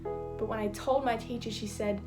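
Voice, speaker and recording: High-voiced woman reciting slam poetry over music. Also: fairly even intonation, normal speed. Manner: rhythmic, rhymed, theatrical storytelling delivery. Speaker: slam poet.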